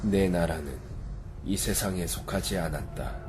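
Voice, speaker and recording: Bible story drama for children: man playing merciful, unshaken holy man, low male voice, solemn and measured, music behind. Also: a little mellow. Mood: calm, resolute. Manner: slow measured solemn phrases.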